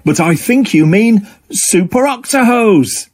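A man's voice: sits high, expressive, slow, a little mellow, voice pushed up, heavily acted. Storybook octopus played by a man: male, earnest, eager, very varied intonation. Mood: proud, cheeky. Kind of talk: children's storybook character reading.

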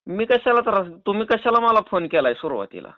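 A man's voice: high-pitched, very animated, fairly quick, no echo. A man with a rural Maharashtrian Marathi accent playing an argumentative, rambling drunk pestering a woman in a prank phone call. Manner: rambling, stubborn, cheeky. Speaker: prank caller. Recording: narrow tinny phone-call recording.

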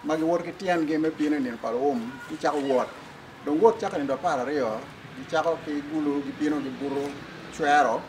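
A man's voice: on the high side, brisk. An older man with a Ugandan accent, a church official explaining things in an interview outdoors. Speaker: church official, diocesan secretary. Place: outdoors in front of a building. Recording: clear background noise, outdoor ambience.

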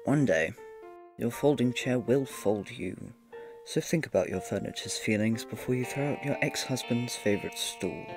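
A man's voice: mid-range voice, normal speed. A man reading scripted podcast credits. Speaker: credits reader. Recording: plucked guitar music under voice.

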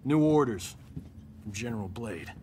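An actor playing a cocky, wisecracking middle-aged action hero in a video game, with a flippant, breezy manner. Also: male voice, mid-range voice, expressive, a little slow, darkish tone, slight background noise, natural voice. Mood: teasing, then brisk. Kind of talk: video game cutscene acting.

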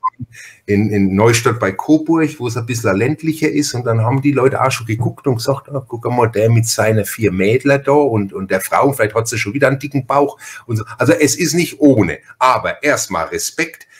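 A man's voice: mid-range voice, expressive, fairly quick. A man from Franconia with a Franconian German accent, hosting a casual interview podcast in a chatty, rambling way. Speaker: podcast host.

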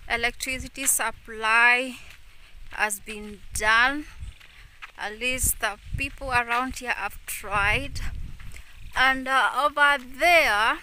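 A woman's voice: fairly high pitch, bright, forceful tone, a little hiss or hum, low-quality recording. A middle-aged Kenyan woman vlogging as she walks along a rural road, chatting to her viewers as "guys", recorded on a phone held at arm's length. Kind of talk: walking vlog chat. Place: rural roadside outdoors.

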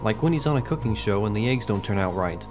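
A man voicing an excitable monster who is a devoted fan, in a video game. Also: lowish voice, fast, faint music behind, lightly acted. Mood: eager, admiring.

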